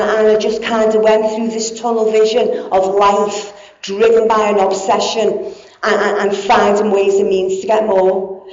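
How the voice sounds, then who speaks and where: A woman's voice: fairly high pitch, darkish tone. A woman, giving a candid, blunt personal recovery talk with plenty of swearing. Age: 40s. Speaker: speaker in recovery. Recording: echoey dull hall sound.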